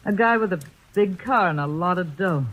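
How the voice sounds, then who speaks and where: A woman's voice: fairly low pitch, lively intonation, normal speed. An actress playing a wary, anxious, streetwise young woman in a 1940s radio play, on a dull old radio recording. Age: young adult.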